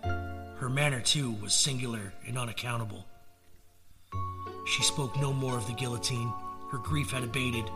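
Male storyteller, medium pitch, measured dramatic gothic reading with a clear music score. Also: fairly even intonation. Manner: measured, theatrical. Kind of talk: dramatic narration of a gothic tale.

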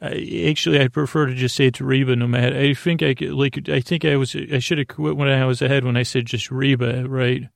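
A man with a gravelly, creaky voice hosting a sleep podcast, rambling in a halting, whimsical way with silly asides and self-interruptions. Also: mid-range voice, fairly even intonation, no echo, clean studio sound. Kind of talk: rambling, whimsical bedtime monologue.

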